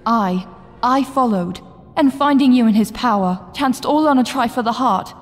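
A woman's voice: fairly high pitch, normal speed, close to an everyday voice. A woman acts out a brave young slave girl from a fantasy audio play. She speaks earnestly in formal, archaic phrases, a little shaken. Her voice rings with long hall reverb.

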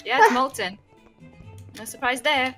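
A woman's voice: high voice, very animated, measured pace, a bit bright, faint music behind, exaggerated voice. A woman voicing an exasperated, snippy female animatronic in a game roleplay.

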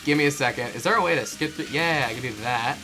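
An excitable, sweary player bantering casually through a video game fan dub. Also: medium pitch, quick pace. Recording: game music behind the voice. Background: video game music.

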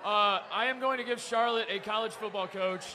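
A man's voice: high-pitched, a little fast, pushed and bright. A man in his thirties co-hosting a casual sports chat, laid-back and joking, repeating his punchlines. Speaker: co-host. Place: crowded bar, indoors. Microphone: handheld mic. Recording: live bar crowd and noise behind.

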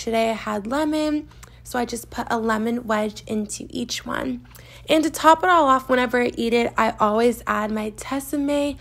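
A woman's voice: on the high side, creaky. A young woman from the US East Coast, chatty and gushing, narrating a casual meal prep voice-over in her home kitchen. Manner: chatty and gushing, heavy use of "like". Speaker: vlogger.

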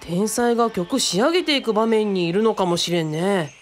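A woman's voice: medium pitch, expressive, normal speed, slight background noise, close to an everyday voice. A woman playing an easygoing, friendly young teacher in a radio drama, explaining things in casual, boyish speech. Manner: casual explaining, bits of Kyushu dialect.

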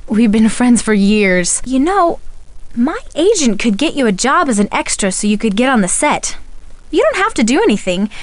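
A woman playing a friendly, earnest young soap opera actress in a video game, with an American accent and an expressive conversational delivery. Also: high voice, no echo. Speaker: video game character voice actor. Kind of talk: scripted game dialogue.

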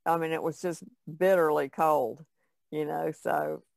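A woman's voice: sits low, measured pace, creaky, a little mellow, no echo, clean studio sound. An American woman chatting casually as a podcast co-host.

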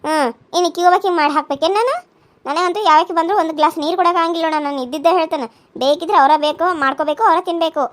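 A woman's voice: very high voice, fairly even intonation, racing. Woman playing a snappy young daughter-in-law. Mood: defiant. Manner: snappy, scolding, defiant.

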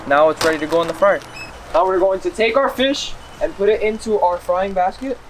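A young man with a high-pitched voice talks to his viewers in a casual, enthusiastic way, like a fishing host. He repeats his phrases often. The recording is outdoors, with clear background noise. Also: a little fast. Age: young adult. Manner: enthusiastic, repetitive, addresses viewers as guys. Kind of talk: casual how-to fishing vlog. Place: outdoors, on a small boat and at a dock. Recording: noisy outdoor recording, boat and wind ambience.

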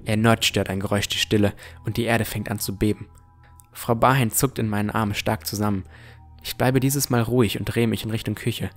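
An actor playing a puzzled but determined young armored swordsman who narrates his fantasy audio drama in a measured, introspective way. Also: fairly low pitch, steady tone, lightly acted. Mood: puzzled, resolute. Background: music.

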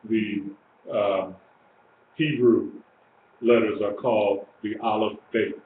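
A man's voice: lowish voice, steady tone, slow. A man in his sixties giving an informal religious teaching, emphatic and repeating phrases for weight. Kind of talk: informal sermon, teaching to a small group. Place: small indoor room. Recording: echoey, tinny lo-fi webcam stream with hiss.

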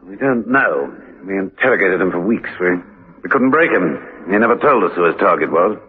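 An actor playing a suave, inquisitive secret agent in a radio drama, clipped and urbane. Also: male voice, deep voice, expressive, fairly quick, husky, exaggerated voice. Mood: coolly probing. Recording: muffled, lo-fi radio recording.

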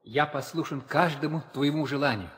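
An actor playing an obedient, devoted young prince, earnest and respectful in a theatrical audio play. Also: male voice, fairly high pitch, normal speed, lightly acted. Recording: reverberant 1960 audio play record.

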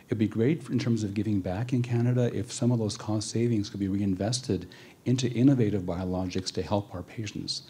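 A man's voice: on the low side, steady tone, a little fast, dark tone, husky. A middle-aged male clinician speaking earnestly and with frustration into a handheld mic at a conference forum. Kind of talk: audience floor comment. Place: large conference hall.

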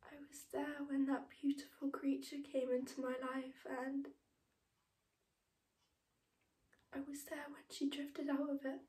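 Actress as bereaved mother, tender, halting, hushed delivery, higher female voice, echoing room. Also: fairly even intonation, recorded from a distance. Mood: tender grief. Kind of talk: dramatic monologue acting. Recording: roomy, echoing room sound.

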